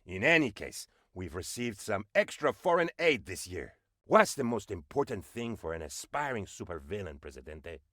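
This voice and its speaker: A man voicing an eager, fawning presidential aide in a comedic video game, obsequious, excitable and melodramatic. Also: fairly low pitch, moderate pace, dry recording, studio quality. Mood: proud, excited. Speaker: game advisor character. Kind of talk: theatrical comedic game voice acting.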